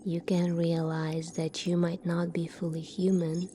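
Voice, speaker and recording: A woman plays a calm, secretive doctor of the future in an ASMR roleplay. Her voice is mellow and a little low, often hushed. She speaks very slowly and deliberately, over faint background noise.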